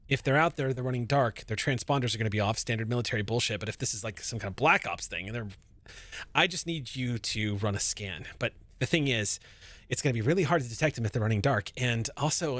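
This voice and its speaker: A man playing a tabletop role-playing game, speaking in character as a jittery pilot with halting, stumbling speech. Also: mid-range voice, lively intonation, a bit bright, dry recording. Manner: nervous, halting, many restarts. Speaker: tabletop player voicing a pilot. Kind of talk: in-character roleplay, casual.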